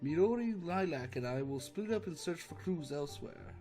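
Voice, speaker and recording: A man's voice: on the high side, animated, moderate pace, warm tone, soft background music, close to an everyday voice. A man voicing an organized, take-charge cartoon pony detective with a polite, commanding manner. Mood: brisk, organizing.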